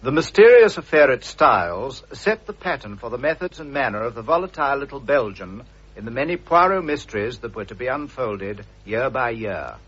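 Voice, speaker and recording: A man with a husky voice reading scripted documentary narration. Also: mid-range voice, lively intonation, a little fast, fairly bright tone. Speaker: radio narrator. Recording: dull, lo-fi old radio recording.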